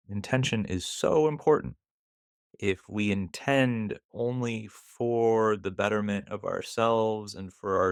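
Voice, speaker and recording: A man leading a guided meditation, speaking deliberately in short phrases with pauses between them. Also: fairly low pitch, dry recording, studio quality.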